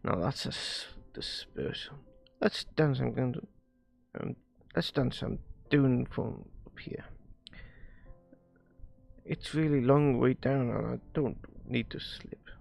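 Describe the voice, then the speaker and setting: A man reading visual novel dialogue aloud on a live stream, haltingly, often stumbling over words and repeating phrases. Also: medium pitch, gravelly, a little mellow, faint music behind.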